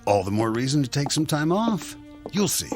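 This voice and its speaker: An actor playing a smooth, scheming husband who is glib, coaxing and sardonic, in a radio drama. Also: male voice, medium pitch, big pitch swings, moderate pace, music in the background, natural voice. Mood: coaxing, insistent.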